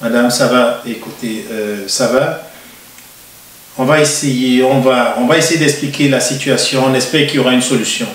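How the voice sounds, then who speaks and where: Give me a man hosting a TV interview, hesitant and measured, with a roomy echo. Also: medium pitch, slight background noise. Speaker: TV show host. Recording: roomy echo, distant mic.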